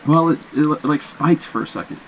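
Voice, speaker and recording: Cocky, higher-voiced young slacker. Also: male voice, lively intonation, a little fast, vocal fry, a little hiss or hum, poor audio. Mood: nonchalant. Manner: casual, dismissive, slangy. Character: cocky, dismissive young slacker, reckless and curious. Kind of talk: naturalistic horror audio drama dialogue.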